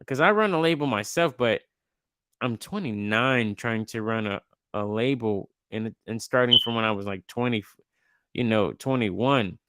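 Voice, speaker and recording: Young guy hosting a podcast, loose slangy rambling, smooth clear voice, dry sound. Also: medium pitch, animated, normal speed, pushed and bright, no room sound. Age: 20s. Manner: laid-back, slangy, rambling with restarts. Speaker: podcast host. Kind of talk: casual interview chat.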